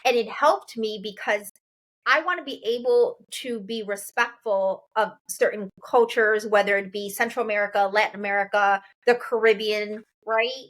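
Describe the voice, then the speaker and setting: A woman's voice: mid-range voice, moderate pace. A woman in her forties from Chicago, hosting a casual interview chat and often echoing her guest's words. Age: 40s. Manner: lively, repeats and echoes her guest. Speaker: host.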